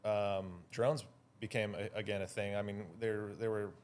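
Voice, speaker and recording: A man's voice: fairly low pitch, little pitch movement, moderate pace, smooth. A Californian man chatting casually as an interview guest, with a laid-back, slangy way of talking. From Hawaii and California, United States. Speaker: guest, drone photographer.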